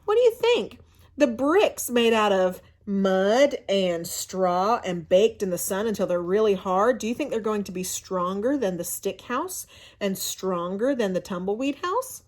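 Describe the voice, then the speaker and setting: A woman reading a storybook aloud to children in an expressive, teacherly way, pausing to explain and ask questions. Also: medium pitch. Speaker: school media coordinator reading to children.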